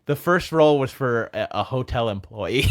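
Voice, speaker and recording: A man's voice: medium pitch, expressive, normal speed. An American man with a lisp and a childlike-sounding voice, a comedian guest telling casual, self-deprecating stories and acting out quoted dialogue. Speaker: stand-up comedian, podcast guest.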